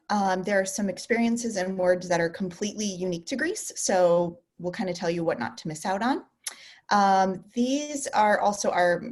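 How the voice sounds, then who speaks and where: A chatty woman with a middle-pitched voice gives a relaxed talk over a video call. She fills her sentences with ums, restarts her thoughts, and keeps things friendly and informal. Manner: chatty, lots of ums and restarts, laughs easily. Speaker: travel company co-founder presenting. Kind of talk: casual virtual presentation.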